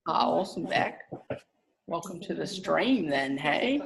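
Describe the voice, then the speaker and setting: Gravelly young man. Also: a little high, expressive, a little slow, crowd noise, rough recording. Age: 20s. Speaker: social media marketing presenter. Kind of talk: casual live stream chat.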